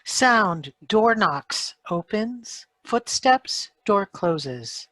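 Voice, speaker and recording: A woman's voice: medium pitch, animated, extremely slow, a bit bright, no room sound. A woman reading out sound effect cues from a script in short clipped phrases.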